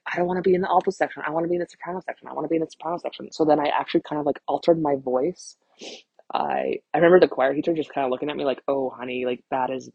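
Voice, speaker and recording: A woman's voice: low-pitched, vocal fry, a little mellow, slightly rough, no room sound, poor audio. A woman in her thirties hosting a casual solo podcast, rambling candidly and self-deprecatingly, swearing freely. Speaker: podcast host, vocal empowerment coach.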